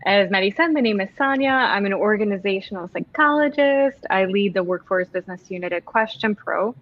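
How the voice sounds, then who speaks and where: A woman's voice: medium pitch, lively intonation, fairly quick. A woman from Serbia co-hosting a conversational show and introducing a guest in an effusive manner over an online call. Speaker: podcast co-host, organizational psychologist. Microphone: remote online call. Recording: dull, lo-fi call audio.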